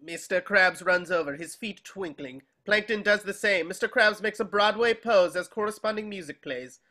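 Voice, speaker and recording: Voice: mid-range voice, moderate pace, sharp tone. A narrator reading scripted stage directions at a table reading.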